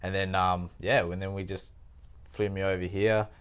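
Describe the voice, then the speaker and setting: A man's voice: low voice, little pitch movement, moderate pace, fairly bright tone, smooth. A young man from Thailand, a podcast guest, talking in a laid-back, slangy way. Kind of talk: casual interview storytelling.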